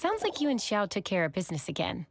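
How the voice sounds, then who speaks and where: A woman's voice: medium pitch, huge pitch swings, fast talker, gravelly, background noise. A woman playing a composed, wry innkeeper boss in a video game, knowing and conversational. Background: music.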